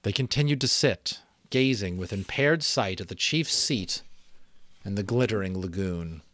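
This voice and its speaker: A man hosting and narrating a book aloud, theatrical and expressive, with casual asides. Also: on the low side, a little slow, dry recording. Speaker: audiobook reader and host.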